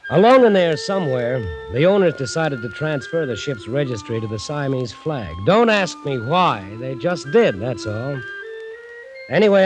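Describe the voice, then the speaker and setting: A man's voice: fairly high pitch, natural voice, rough recording. An actor playing a resentful young chief mate who tells his story wryly and colloquially in a 1940s radio drama. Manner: wry, colloquial, exasperated. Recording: old radio recording with music.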